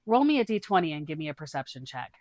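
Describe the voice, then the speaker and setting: A woman's voice: low voice, very animated, fast talker, a little mellow, dry recording. A woman storyteller reading a scripted fantasy adventure tale as narration.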